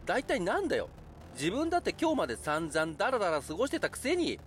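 A man's voice: sits high, huge pitch swings, fast talker, soft background music. A man playing an irritable, defensive young roommate in an audio drama, grumbling and argumentative. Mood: angry.

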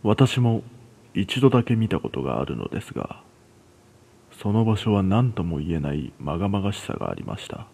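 A man reading a scripted first-person horror story in a measured, hushed storytelling manner. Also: sits low, big pitch swings, gravelly, husky.

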